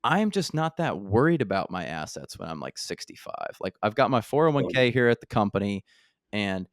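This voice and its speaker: A man in his thirties with a fairly low voice talks loosely and thinks out loud, filling his sentences with "like." The sound is dry and close, with no room around it. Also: big pitch swings, normal speed, dry recording. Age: 30s. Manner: chatty, thinks aloud with many "like"s. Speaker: podcast host. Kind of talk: casual interview chat.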